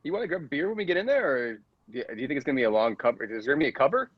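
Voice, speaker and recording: A man's voice: mid-range voice, animated, racing, no room sound, lightly acted. A man playing a casual, pushy bro who cajoles in slangy, hesitant talk, in improvised comedy with a dull, dry sound. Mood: chummy. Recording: dull, dry close recording.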